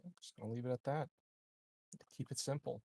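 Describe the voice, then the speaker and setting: A man with a creaky, gravelly edge to his mid-pitched voice, recorded close and dry. He improvises in character at a tabletop game in blunt, everyday language. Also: fairly quick, mellow, no room sound. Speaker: player in a tabletop roleplaying game. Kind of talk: casual in-character improvised roleplay.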